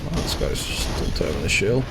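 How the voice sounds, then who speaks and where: A man's voice: fairly low pitch, sing-song, normal speed, very noisy. A man streaming a video game, chatting casually and rambling with lots of fillers as he plays. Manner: rambling, lots of fillers, chuckles at his own deaths. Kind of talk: casual gameplay commentary.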